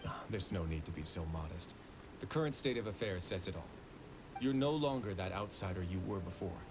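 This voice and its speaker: An actor playing a confident, easygoing male prison warden in a video game, talking in a relaxed, wry way. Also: deep voice, brisk, background noise.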